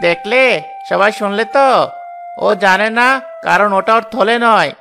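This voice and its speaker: A man's voice: high-pitched, measured pace, vocal fry, pushed and bright, faint music behind, full character voice. A man voicing a brazen, scheming accuser in a cartoon tale, insistent and indignant. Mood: scornful, accusing. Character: brazen scheming male accuser falsely claiming a purse. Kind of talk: dramatic cartoon voice acting.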